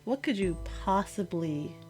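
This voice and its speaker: A woman playing a hurt, exasperated girlfriend confronting her boyfriend in an intimate one-sided audio roleplay, speaking emphatically and haltingly and repeating herself. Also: medium pitch, expressive, darkish tone, soft background music, close to an everyday voice. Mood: weary, guarded.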